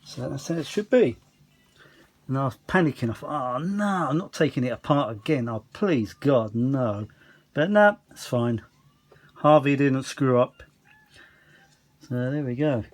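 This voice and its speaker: A man's voice: medium pitch, lively intonation, a little slow, pauses often. Chatty, rambling man. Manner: chatty, self-deprecating, muttering asides. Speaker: vintage audio hobbyist presenting a restoration. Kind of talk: casual rambling demonstration.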